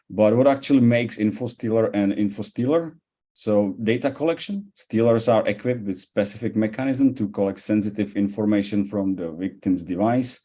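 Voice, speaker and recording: A man with a Central European accent presenting a webinar as an expert, speaking haltingly with frequent hesitations. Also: fairly low pitch, dark tone. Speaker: cybersecurity expert giving a presentation.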